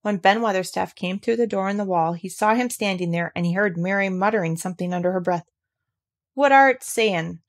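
Female audiobook narrator, medium pitch, scripted storybook reading. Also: steady tone, quick pace, hardly any pauses. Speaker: audiobook reader.

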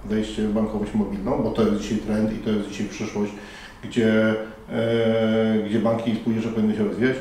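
Mellow-voiced middle-aged man, echoey hall. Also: lowish voice, little pitch movement, moderate pace, slight background noise. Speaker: conference panel moderator. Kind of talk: formal interview answers. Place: conference hall by a banner. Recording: echoey room from far off.

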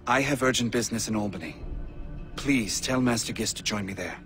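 An actor playing a courteous, formal older army colonel in a video game. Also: male voice, fairly low pitch, a bit bright. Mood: earnest. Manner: formal, measured. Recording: game audio with music behind.

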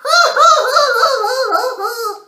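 A man's voice: extremely high pitch, expressive, very slow, heavy background noise, pitched above the performer's own voice, full character voice. A man with an English accent voicing a gleeful, mischievous little storybook boy who squeals and cackles mockingly. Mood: cackling glee. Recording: echoey room.

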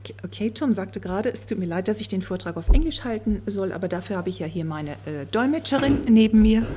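A woman working as a conference interpreter, turning a speaker's words into steady, fluent speech at a table microphone in a conference room. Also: mid-range voice, fast, slightly rough. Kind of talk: consecutive interpreting.

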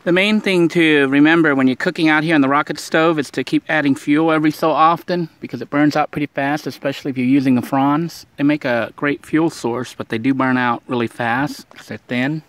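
A man's voice: a little high, fairly quick, hardly any pauses. A man vlogging outdoors beside a van, talking casually through his cooking with lots of fillers. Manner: relaxed, rambling with many fillers. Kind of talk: casual how-to narration while cooking.

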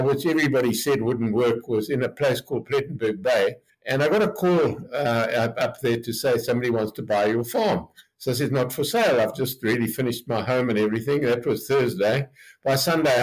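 Old guy nearly eighty from zimbabwe, telling his story with lots of uhs, middle pitch. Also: rough recording. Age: 70s. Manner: rambling, relaxed storyteller with many fillers. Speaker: hotel group chairman, guest. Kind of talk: interview storytelling.

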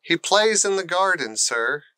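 A man puts on a storybook housekeeper, a woman full of worry. The voice is high and clean. She speaks deferentially, with a fretful shake. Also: lively intonation, unhurried, bright, forceful tone, clean tone, no room sound, full character voice. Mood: nervous. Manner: fretful, shaky.

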